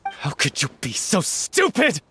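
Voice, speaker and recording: A hot-tempered lovesick actor. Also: male voice, a little high, huge pitch swings, faint music behind, exaggerated voice. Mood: furious. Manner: intense, earnest, quick to shout. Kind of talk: video game voice acting.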